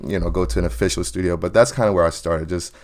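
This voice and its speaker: A young man, a singer, chatting casually in an interview, relaxed and full of "you know" fillers, laughing often. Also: low-pitched, fast talker. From New Jersey or New York area. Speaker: guest singer.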